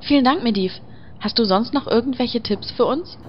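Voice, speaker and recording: A woman's voice: fairly high pitch, normal speed, slight background noise, lightly acted. Kindly adventurer woman, slightly dull sound, acted. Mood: friendly. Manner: courteous, attentive. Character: polite, kindly, sincere female adventurer. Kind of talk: parody radio-play acting.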